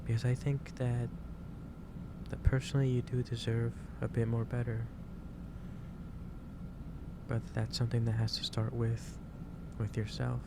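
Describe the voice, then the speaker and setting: A man playing a gentle, concerned office boss in an ASMR roleplay, speaking haltingly and repeating his phrases. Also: medium pitch, completely flat delivery, gravelly, noisy background. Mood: gentle lecturing. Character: gentle, concerned male office boss lecturing an overworked employee. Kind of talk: improvised ASMR roleplay addressed to the listener.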